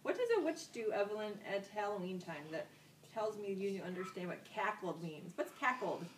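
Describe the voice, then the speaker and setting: A woman's voice: medium pitch, lively intonation, moderate pace. A woman teacher speaks in a roomy classroom, some distance from the microphone. She instructs young children, repeating key words and putting questions to them. Faint noise sits behind her voice. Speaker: elementary school teacher. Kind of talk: interactive classroom vocabulary lesson for young children.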